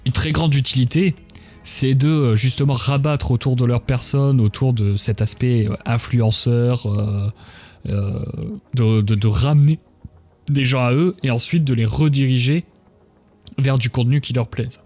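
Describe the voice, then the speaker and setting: A man's voice: medium pitch, steady tone, unhurried, mellow. A man talking casually on a livestream, giving his opinions in a blunt, emphatic, colloquial manner. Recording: music behind the voice. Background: music.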